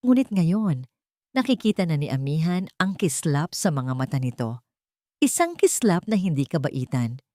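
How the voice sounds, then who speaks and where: Female Filipino narrator for romantic drama audio story; low-pitched, mellow, very dry recording. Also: no echo.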